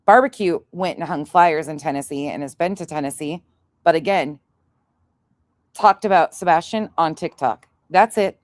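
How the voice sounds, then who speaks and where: Low-voiced, blunt, sweary woman. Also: normal speed, no room sound. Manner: blunt, profane, scornful. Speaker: livestream host commenting on played clips. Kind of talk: casual livestream commentary, chatting with live viewers.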